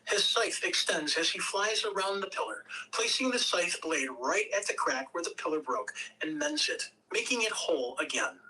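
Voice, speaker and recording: Woman, script narrator, animated intonation, bright tone, a little distant from the microphone. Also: fairly low pitch, normal speed, hardly any pauses. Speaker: narrator reading stage directions. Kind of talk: scripted narration at a table read.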